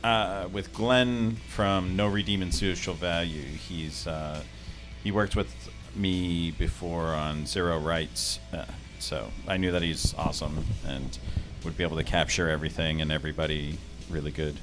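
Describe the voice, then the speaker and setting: A man from Massachusetts with a very deep voice answers as a band guest on a radio show. He talks plainly and easily. Faint music sits behind him. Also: steady tone, measured pace. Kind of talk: casual interview chat.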